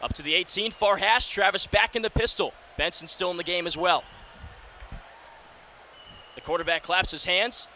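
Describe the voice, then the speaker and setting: A man in his early twenties calling live football play-by-play on radio with energetic, clipped phrasing. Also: high-pitched, normal speed, pauses often. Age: early 20s. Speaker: play-by-play announcer. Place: sports broadcast position inside a domed stadium. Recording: steady stadium noise behind. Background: stadium ambience.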